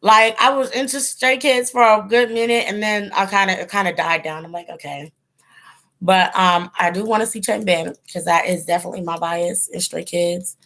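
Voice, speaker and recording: A woman's voice: medium pitch, steady tone, moderate pace, fairly bright tone. A woman hosting a casual livestream, rambling with lots of ums and likes while chatting with viewers, her voice giving out. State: losing her voice. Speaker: host of a tarot channel, chatting with live viewers.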